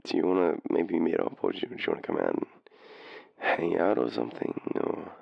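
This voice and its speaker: A man's voice: low-pitched, big pitch swings, no room sound, muffled recording, exaggerated voice. An Australian man playing a tipsy, shy, lovestruck young guy phoning his crush in an intimate audio roleplay, hesitant and rambling. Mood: nervous, tipsy. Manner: hesitant, rambling, halting, breathy chuckles. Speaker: voice actor in boyfriend roleplay. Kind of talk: intimate audio roleplay, one-sided phone call.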